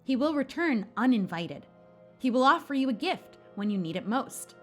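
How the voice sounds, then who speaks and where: A woman's voice: a little high, sing-song, normal speed, soft background music. A woman voicing a mysterious stranger in a game, giving warnings in a solemn, dramatic manner. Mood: ominous.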